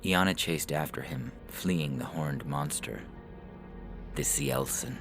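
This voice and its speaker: Narrator for dramatic fiction: very deep male voice, deliberate and measured, over prominent music. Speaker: story narrator.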